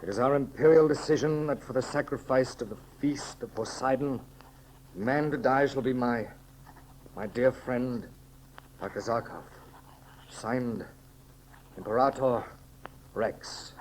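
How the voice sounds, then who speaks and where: An actor playing a noble, anguished adventurer emperor in an earnest, halting, melodramatic 1930s radio style. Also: male voice, medium pitch, close to an everyday voice. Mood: solemn. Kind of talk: 1930s radio serial acting. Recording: noisy 1930s radio recording.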